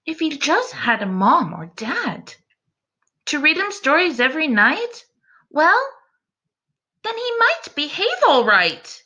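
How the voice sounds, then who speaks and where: A woman teacher doing an expressive children's read-aloud, speaking in an encouraging way aimed at young listeners. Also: high-pitched, takes it slowly, pauses often. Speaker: teacher reading a picture book aloud.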